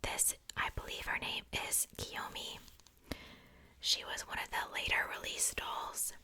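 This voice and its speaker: A woman's voice: a little slow, pushed and bright. A young adult woman hosting ASMR, whispering casually in a rambling, informal show-and-tell with self-joking asides. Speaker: ASMR video host.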